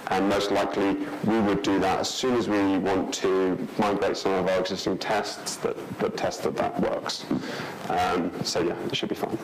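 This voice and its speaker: A man in his thirties answering audience questions at a conference talk, pausing with many ums and restarts. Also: low-pitched, little pitch movement. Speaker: conference speaker. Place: large lecture hall. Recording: noisy hall recording.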